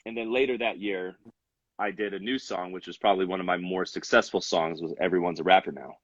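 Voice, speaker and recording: Young guy, rapper, kinda low voice, lots of ums and restarts, dry muffled sound. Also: fairly even intonation, fairly quick, no room sound. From Salt Lake City. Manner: rambling, many fillers and restarts. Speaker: rapper, interview guest. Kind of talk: casual interview chat.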